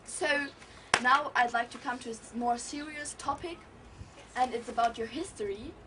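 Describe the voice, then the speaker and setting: A high-voiced teenage girl plays talk show host, eager and upbeat as she reads her questions. She stands well back from the mic. A loud hiss of noise runs under her voice. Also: normal speed, distant mic. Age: teens. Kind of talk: scripted mock talk show hosting.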